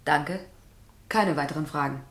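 A woman's voice: deep voice, moderate pace. A woman acting a cool state prosecutor who questions witnesses formally and exactly in a radio drama. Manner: formal, probing, precise. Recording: roomy, echoing radio drama studio.

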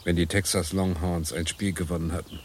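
A man's voice: sits low, little pitch movement, a little fast, slight background noise, lightly acted. An actor playing an inquiring man who asks probing questions in a German radio play. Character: inquiring male interlocutor.

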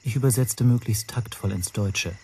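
An actor playing an observant language teacher who narrates his story in a measured, dryly descriptive way for a radio drama. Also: on the low side, dark tone, a little hiss or hum, close to an everyday voice.

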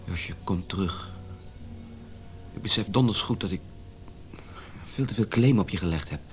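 A man's voice: low-pitched, normal speed, lightly acted. A man playing a lovesick, self-pitying husband in a radio drama, pleading as he talks. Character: lovesick, pleading husband who writes love poems.

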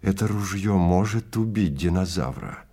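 Nervous big-game hunter, played by a male narrator, deep but hushed, full of awe, audio drama. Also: lively intonation, normal speed. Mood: awed.

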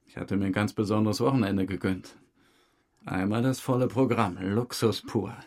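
An actor playing a chummy, self-satisfied murder suspect who breezily dodges questions in a German crime audio drama. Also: male voice, lowish voice, steady tone, normal speed, darkish tone, lightly acted. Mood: smug, dreamy. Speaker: suspect under interrogation.

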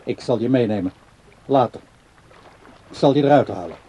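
Mellow-voiced, stubborn ageing man. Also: medium pitch, animated, moderate pace, husky, muffled recording. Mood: placating, evasive. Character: ageing man, tender yet stubborn, easily fed up. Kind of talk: Dutch radio play acting. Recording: radio play recording with background noise.

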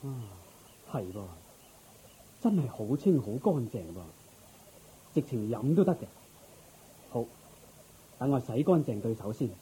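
A man's voice: medium pitch, big pitch swings, unhurried, long pauses, warm tone, a little hiss or hum, low-quality recording. An actor playing a dignified, courteous and earnest king in a Cantonese radio drama, stately yet humble. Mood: pleased, instructing.

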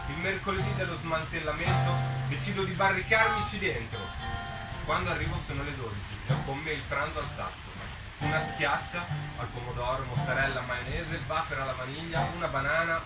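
Man reading, higher-pitched, over guitar. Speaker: reader at a book presentation. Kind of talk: expressive live reading of a first-person story. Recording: roomy, distant mic, guitar music behind. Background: plucked guitar music.

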